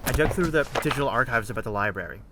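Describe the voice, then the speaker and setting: A man's voice: mid-range voice, lively intonation, racing, noisy background, natural voice. A man playing a blunt, exasperated, teasing friend in a naturalistic audio drama, sardonic and casual.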